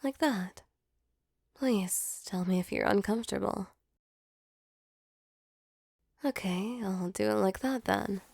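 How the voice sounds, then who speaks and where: A woman plays a female sleep paralysis demon in an intimate audio roleplay. Her voice is soft and mid-pitched with a noticeable fry. She is a teasing monster with a tender, apologetic side, heard up close in a very dry space. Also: unhurried, dry recording. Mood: tender, soothing.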